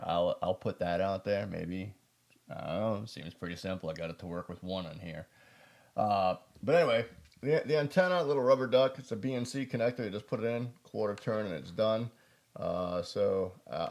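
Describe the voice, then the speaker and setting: Casual male gear reviewer, low-pitched with a creaky edge, folksy and unscripted. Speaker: product reviewer.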